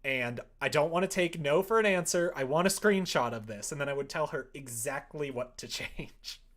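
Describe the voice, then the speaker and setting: A man's voice: on the high side, normal speed, a bit bright. A man telling a rambling anecdote in casual livestream chat, acting out exchanges with an exasperated, storytelling manner. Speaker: live streamer.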